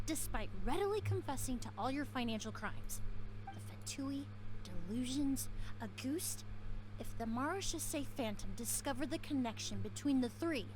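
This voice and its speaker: An actress playing a composed, analytical young investigator in a video game, formal and precise. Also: female voice, high-pitched, background noise.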